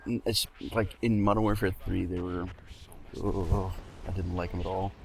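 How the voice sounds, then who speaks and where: A man's voice: deep voice, flat delivery, moderate pace, creaky, darkish tone. A man co-commentating on a video game in a casual, conversational way. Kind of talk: casual chat over gameplay.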